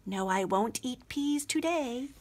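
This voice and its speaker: High, eager young princess read by a woman. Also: expressive, slow, full character voice. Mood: pleading, stubborn. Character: eager, inventive young princess scientist in a storybook.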